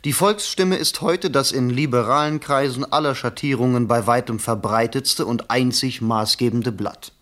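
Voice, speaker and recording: An actor playing a cynical newspaper reporter, speaking in an eloquent, measured, persuasive way in a 1970s German radio play. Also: male voice, medium pitch, fairly bright tone, natural voice. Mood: suave, persuasive. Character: smooth, cynical newspaper reporter coaching a politician.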